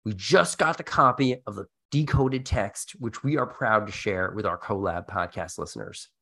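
Animated podcast host with wide-swinging pitch. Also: normal speed, creaky, breathy edge. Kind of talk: scripted, animated podcast presenting.

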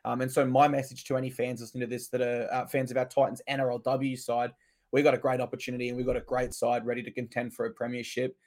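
A man co-hosting a sports podcast, his voice hoarse and still recovering, reeling off stats with enthusiasm. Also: mid-range voice, flat delivery, fast talker, darkish tone. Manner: enthusiastic, stat-heavy delivery. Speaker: podcast co-host. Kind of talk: casual sports podcast chat.

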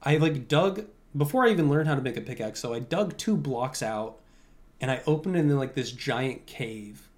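A young adult man with a mid-pitched voice talks as a podcast host. His delivery is loose and conversational, wandering through his thoughts as he answers listener questions. He leans on "like" constantly, keeping it very informal. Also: lively intonation, normal speed. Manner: informal, conversational, heavy use of "like".

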